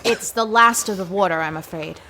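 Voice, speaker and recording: Female, unruffled spy boss in radio comedy, precise deadpan, middle register, faint ambience. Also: medium pitch, brisk, a little hiss or hum, rough recording. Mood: calm. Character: composed, dry woman section chief. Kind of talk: comedic audio drama acting.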